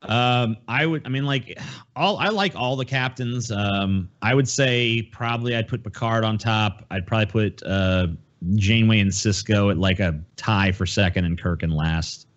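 A man's voice: fairly low pitch, normal speed, highs cut off. A man in a casual podcast chat as a host, talking bluntly and swearing freely. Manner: profane, blunt.

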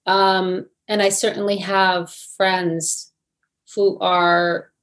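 A woman's voice: medium pitch, flat delivery, drawn-out words, a bit bright. A middle-aged woman from New Jersey, an actress answering interview questions in a thoughtful, hesitant way with many fillers and restarts. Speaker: actress, guest.

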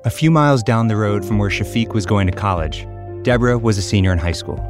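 Man, podcast host, scripted documentary narration, calm, lowish voice, faint music. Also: fast.

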